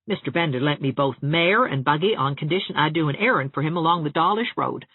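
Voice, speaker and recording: A woman's voice: low voice, lively intonation, quick pace, husky, no echo, narrow, tinny audio, heavily acted. A woman voicing a cheerful, teasing, self-mocking young man in a storybook reading. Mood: mock-gallant.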